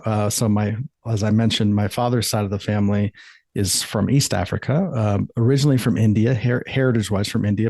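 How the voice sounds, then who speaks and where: A man's voice: lowish voice, a little mellow, no echo. A middle-aged man from the United States, an author and life coach, answering interview questions in a thoughtful, earnest way with frequent restarts.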